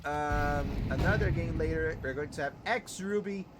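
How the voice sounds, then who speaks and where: A man from Brazil with a Brazilian Portuguese accent, a native Portuguese speaker casting an esports match alone in English, excitable on plays and chatty in between. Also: on the high side, heavy background noise. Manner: excitable, quick bursts on plays, chatty asides and laughs. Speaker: esports caster. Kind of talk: solo game commentary and chat reading.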